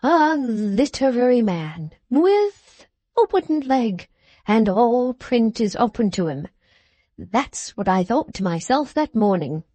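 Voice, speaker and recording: A woman's voice: fairly high pitch, sing-song, takes it slowly, mellow, dry recording, pitched above the performer's own voice, full character voice. A woman voicing a jovial, boastful older man who speaks in broad, ungrammatical English, eager and enthusiastic. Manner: broad, rough-grammared, enthusiastic.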